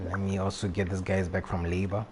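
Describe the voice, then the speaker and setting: A man's voice: deep voice, background noise. A man talking casually as a live game streamer, rambling and often repeating his phrases. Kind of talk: casual gaming livestream chat.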